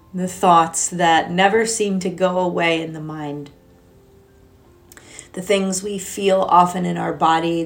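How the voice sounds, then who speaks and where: Low, creaky-voiced woman guiding meditation. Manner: gentle, soothing, unhurried with long pauses. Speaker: meditation and yoga teacher. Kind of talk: guided meditation. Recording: soft music behind the voice. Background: music.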